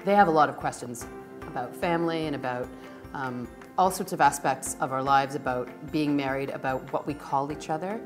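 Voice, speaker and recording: A woman's voice: rumbling low, brisk, over music. A woman explaining things conversationally as an interviewee. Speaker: interviewee in a documentary.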